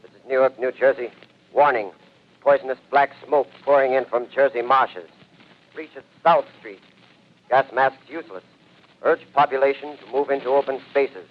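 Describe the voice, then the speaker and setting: An actor playing a city emergency announcer who gives urgent, clipped warnings in a 1930s radio drama. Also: male voice, mid-range voice, normal speed, lightly acted. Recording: dull old radio broadcast.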